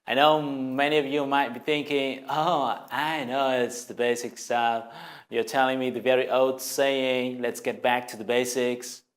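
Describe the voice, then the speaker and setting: A man's voice: medium pitch, little pitch movement, unhurried. A man with a Vietnamese accent, a native Vietnamese speaker, giving an earnest, encouraging English lesson straight to the viewer. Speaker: language teacher. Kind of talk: scripted video lesson.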